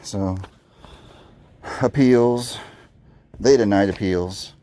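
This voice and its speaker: A man in his thirties with a raspy, low voice, talking candidly and rambling with many restarts while doing yardwork outdoors. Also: drawn-out words, warm tone. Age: 30s. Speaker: vlogger. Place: outdoors in a yard.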